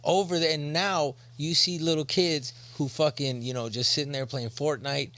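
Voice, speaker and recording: Rambling man with a gravelly voice. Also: fairly high pitch, fairly even intonation, moderate pace, fairly bright tone. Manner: rambling, profane, restarts a lot. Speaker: podcast co-host. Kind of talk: casual chat.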